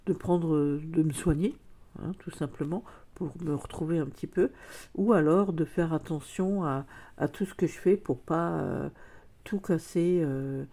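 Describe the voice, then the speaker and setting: A woman's voice: low-pitched, mellow. A woman chatting casually in a vlog, hesitant with many fillers, her nose stuffed up from a cold. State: congested, a cold. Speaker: vlogger. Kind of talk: casual chat.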